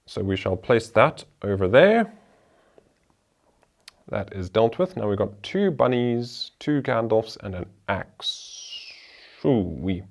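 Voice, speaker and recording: A man's voice: fairly low pitch, sing-song, slow, creaky. A man hosting a board game show, talking through the rules and each move of a solo playthrough in a chatty way.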